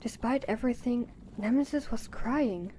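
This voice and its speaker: A woman's voice: on the high side, normal speed, warm tone, a little hiss or hum. A woman reading scripted narration for an audio drama. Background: background music.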